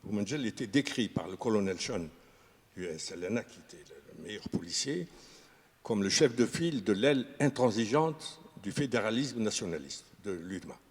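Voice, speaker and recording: A man speaking as a historian in an unscripted discussion, talking haltingly with many fillers and restarts. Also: lowish voice, animated, breathy edge. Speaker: historian guest. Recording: reverberant room.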